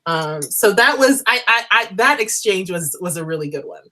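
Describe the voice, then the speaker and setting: A woman's voice: medium pitch, lively intonation, moderate pace, sharp tone. A woman chatting casually as co-host of a TV review show, emphatic and restating her points.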